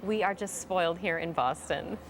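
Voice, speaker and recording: A woman's voice: on the low side, moderate pace, creaky, a little hiss or hum. An American woman anchoring morning TV news, moving between scripted introductions and light chat.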